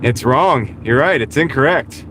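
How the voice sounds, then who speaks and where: A man's voice: mid-range voice, animated, measured pace. A man chatting casually with viewers on a livestream while driving for deliveries, greeting people and chuckling often. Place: inside a car on the road. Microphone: small wireless clip-on mic. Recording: car road noise in background.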